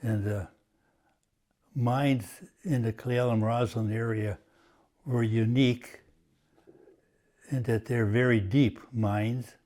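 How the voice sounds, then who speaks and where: An older man from Washington State, Croatian as his first language, slowly recalling his family's past with frequent pauses. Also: medium pitch, fairly even intonation, mellow. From Washington State, USA. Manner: slow and halting, many uh pauses. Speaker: oral history interviewee. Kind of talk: reminiscing, oral history.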